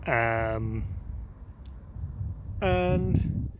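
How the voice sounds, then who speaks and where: A man's voice: medium pitch, lively intonation, very slow, rough recording. A man with an Irish accent rambling hesitantly through a casual travel vlog outdoors on a city street. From Ireland. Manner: rambling, hesitant, many ums. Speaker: vlogger. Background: urban street noise.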